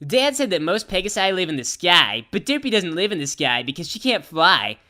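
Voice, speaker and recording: Woman voicing a bright, brash, animated young cartoon pony storyteller. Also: lowish voice, a little fast. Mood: animated, irreverent. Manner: childishly simple phrasing mixed with casual, sardonic slang. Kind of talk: irreverent storybook narration with slangy asides.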